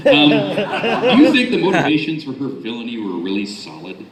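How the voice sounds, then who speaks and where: A man's voice: medium pitch, huge pitch swings, fairly quick, over a noisy crowd. A man moderating a fan convention panel, asking questions in a casual, informal way with some hesitation. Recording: echoey convention room, distant mic, faint noise.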